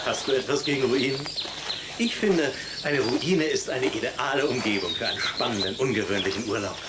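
A man's voice: medium pitch, a little fast, close to an everyday voice. A man playing a cheerful, unworried, teasing middle-aged father in a German audio drama. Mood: curious, challenging. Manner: teasing, enthusiastic, chuckling. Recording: noisy old audio drama recording.

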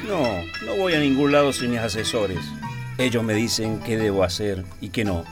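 A man's voice: lowish voice, lively intonation, normal speed, music in the background, close to an everyday voice. A man playing a blundering, corrupt senator. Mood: awkward. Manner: gruff, self-excusing, led by his advisors. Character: corrupt, blundering, irritable male American senator. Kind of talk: comic radio play acting.

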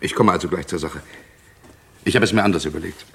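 An actor in his early fifties playing a gruff, insistent police inspector in vintage German crime drama. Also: deep voice, fast, fairly bright tone, full character voice. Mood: firm, brusque. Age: early 50s. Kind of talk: 1960s-70s German crime radio and TV drama acting.

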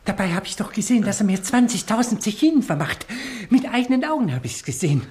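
Greedy elderly miser for radio drama, lively intonation, fretful and muttering. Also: male voice, high voice, quick pace. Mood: indignant, suspicious. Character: greedy, suspicious old miser, male. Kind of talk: theatrical radio play acting.

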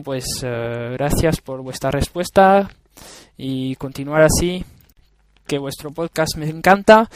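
A man's voice: medium pitch, no room sound. Man, French accent, native French speaker, listener voice message, hesitant, dry recording. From France. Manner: hesitant, many fillers and restarts.

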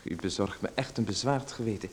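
A man's voice: on the low side, fairly quick, slight background noise, close to an everyday voice. An actor playing a polite, hesitant man who stammers while a salesman pushes him. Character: polite, hesitant well-known man being sold to. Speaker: radio drama actor. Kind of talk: radio play acting.

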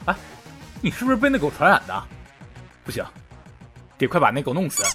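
A man's voice: a little high, huge pitch swings, brisk, over music. An actor playing a brusque, unbothered male veterinarian in an audio drama, curt and teasing. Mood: startled, annoyed. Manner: offhand, curt, mocking. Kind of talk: modern audio drama acting.

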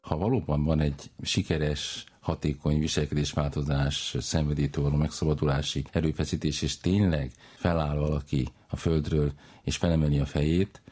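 A man talking as a psychologist in an interview, explaining in long, winding, deliberate sentences. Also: rumbling low, dark tone, husky.

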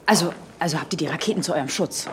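A woman's voice: deep voice, racing, noisy background, close to an everyday voice. An actress playing a curious, dry-witted young German woman in a radio drama. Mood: sceptical. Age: young adult.

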